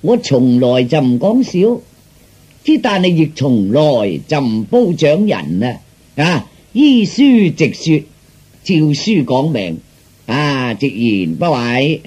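An actor playing a grandiose, flattering street fortune teller who haggles slickly, in declamatory sing-song patter of comic storytelling. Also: male voice, medium pitch, slow, breathy edge, exaggerated voice. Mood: grandiose pronouncing. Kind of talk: theatrical Cantonese comic storytelling.

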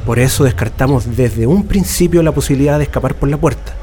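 An actor playing a cautious, methodical political prisoner plotting an escape, with a Chilean accent and deliberate, halting delivery in a radio drama. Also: male voice, medium pitch, warm tone, close to an everyday voice. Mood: cautious, resolute.

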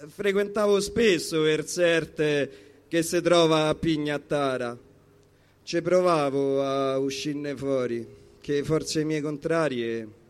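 A man with a Roman accent reciting spoken-word poetry in a rhythmic, emphatic, dramatic way. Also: fairly high pitch. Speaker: poetry performer.

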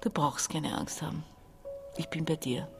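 A woman's voice: low voice, fairly even intonation, normal speed, gravelly, music in the background. An actress playing a domineering, desperate woman of about forty in a radio crime drama, confiding one moment and bossy the next. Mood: weary. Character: domineering, desperate sister around forty, plotting and escapist. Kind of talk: German radio crime drama acting, narration and dialogue.

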